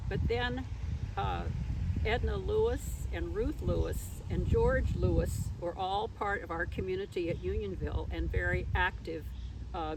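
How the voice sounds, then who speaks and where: An older woman telling her own story as an interview guest, speaking in an unscripted, conversational way. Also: fairly low pitch. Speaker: interview guest, former teacher. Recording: faint traffic noise behind.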